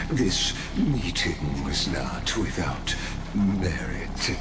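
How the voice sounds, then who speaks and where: An extremely deep-voiced enigmatic male cosmic wanderer from a video game, calculating and formal. The words come very slowly, with grand, deliberate pauses. The voice rings with heavy echo over background music. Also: full character voice. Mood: coolly dismissive. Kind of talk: scripted video game character dialogue. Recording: echoey, with music underneath.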